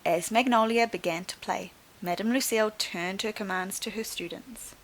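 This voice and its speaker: A woman teacher reading a storybook aloud to young children in an expressive, instructive way. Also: mid-range voice, moderate pace, low-quality recording. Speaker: primary school teacher.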